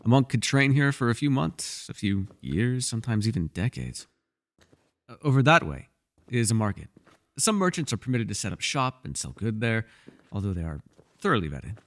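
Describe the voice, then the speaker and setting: A man's voice: on the low side, sing-song, normal speed, a bit bright. A man playing a mysterious elf mage in a fantasy ASMR roleplay, a patient mentor who muses wryly in formal, old-fashioned phrasing. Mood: explanatory, wry. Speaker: voice actor.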